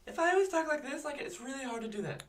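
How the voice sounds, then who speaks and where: A man's voice: very high-pitched, expressive, rapid-fire, over a noisy crowd. A teenage boy on a casual podcast in a small studio, joking and teasing as he chimes in. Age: teens.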